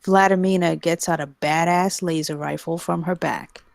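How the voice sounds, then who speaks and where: A woman's voice: fairly low pitch, steady tone, normal speed, gravelly, dry recording. A woman reading stage directions as narrator in a live scripted table read.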